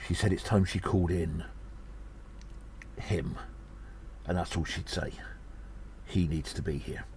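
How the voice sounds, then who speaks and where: A low-voiced, earnest gnome adventurer acted by a man delivers an audio drama report. He sounds worried and urgent, with hesitant phrasing. There is noticeable noise in the recording. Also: steady tone, a little slow, a little mellow. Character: earnest, worried gnome adventurer rallying allies against an attack. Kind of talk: audio drama monologue, spoken as a personal report.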